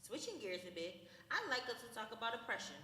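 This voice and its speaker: Bright-voiced woman hosting a church skit. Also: on the high side, sing-song, fast talker. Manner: reads from a script with small slips. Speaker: talk show host in a church skit. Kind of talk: scripted talk show hosting. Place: church hall. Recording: echoey hall with long reverb, faint noise.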